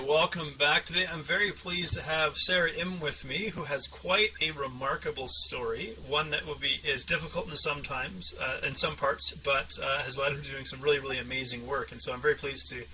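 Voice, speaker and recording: A man's voice: mid-range voice, fairly even intonation, a little fast, pushed and bright, distant mic, slight background noise, low-quality recording. A man hosting an interview podcast and talking conversationally with a guest. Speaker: podcast host and coach.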